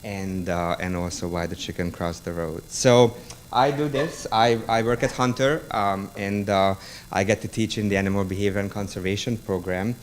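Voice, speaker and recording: Halting Hungarian-accented male lecturer. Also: lowish voice, a little hiss or hum. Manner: halting, many ums and restarts. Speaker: university lecturer. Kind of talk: public book talk.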